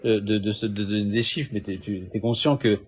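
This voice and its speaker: Man, halting speech, lots of restarts, defensive, casual debate. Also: lowish voice, steady tone, slightly rough. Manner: hesitant, many restarts and fillers, defensive. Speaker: Raëlian guest in a debate.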